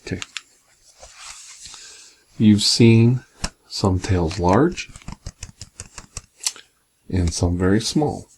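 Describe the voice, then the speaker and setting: A man reading a picture book aloud in a soft, gentle, partly whispered ASMR bedtime style with casual asides, close to the microphone. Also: low voice, expressive, very slow, pauses often. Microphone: close binaural microphone. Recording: clear background noise, binaural ASMR microphone.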